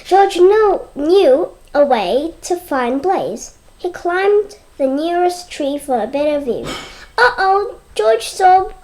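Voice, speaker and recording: A child reading a storybook aloud in a halting way, stumbling and repeating words. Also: extremely high pitch, animated, a little mellow, low-quality recording. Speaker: read-aloud presenter.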